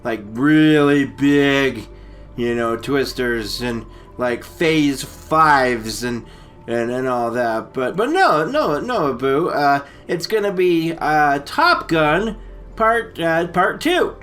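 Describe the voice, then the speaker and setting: A man co-hosting a casual comic movie recap, rambling and halting, full of slang. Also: a little high, expressive, a bit bright, soft background music. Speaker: comedy storytelling co-host.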